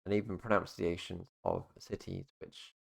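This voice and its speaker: A young man with a very low, gravelly voice. He talks loosely to the camera, looping through "obviously" and "basically" as he goes. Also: flat delivery, moderate pace. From United Kingdom. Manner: rambling, full of fillers like "obviously" and "basically". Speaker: YouTuber. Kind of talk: casual explainer monologue.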